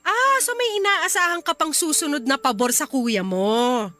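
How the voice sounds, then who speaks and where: A woman playing an expressive young wife and mother in a radio drama, emphatic and full of pointed questions. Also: high voice, moderate pace. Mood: exasperated, reproachful. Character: exasperated young wife and mother, teasing then reproachful. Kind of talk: radio drama acting in dialogue. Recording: music under the voice. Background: background music.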